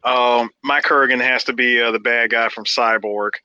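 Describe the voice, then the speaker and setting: A man's voice: medium pitch, steady tone, normal speed, pushed and bright, clear, resonant tone, muffled recording. A man co-hosting a casual podcast over a call, cutting in insistently and playfully. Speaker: podcast co-host. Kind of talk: casual chat.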